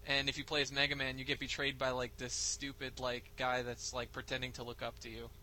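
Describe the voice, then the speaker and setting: A young man chatting casually about games as a podcast co-host, retelling things in an animated way with acted-out quotes. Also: medium pitch, fairly quick, slight background noise, low-quality recording. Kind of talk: casual gaming chat.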